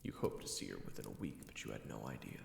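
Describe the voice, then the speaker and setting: A man's voice: rumbling low, animated, fast talker, gravelly, a little mellow, roomy sound, big-room sound, a little hiss or hum. A man reading scripted second-person fantasy narration as the storyteller of an audio roleplay.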